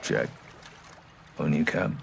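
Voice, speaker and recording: A young man with a British accent playing a calm, terse special forces soldier in a game. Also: slow, gravelly, background noise, heavily acted. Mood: alert, quiet. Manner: terse, low-key. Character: calm, loyal young male commando. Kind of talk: cinematic game acting.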